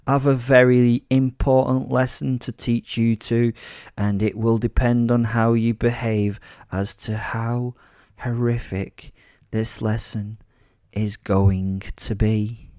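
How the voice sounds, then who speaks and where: A man's voice: fairly low pitch, animated, normal speed, darkish tone, dry recording, clean studio sound. A man voicing a sadistic vigilante who gloats and monologues in a pompous, mocking, menacing way, as in an audio story. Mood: commanding menace.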